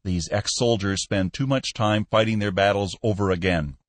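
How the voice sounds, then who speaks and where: A man's voice: fairly low pitch, moderate pace, no room sound, close to an everyday voice. A stiff, humorless office manager with a firm, raised voice, clipped and complaining, as a male narrator puts him on. Recorded close and dry. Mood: indignant.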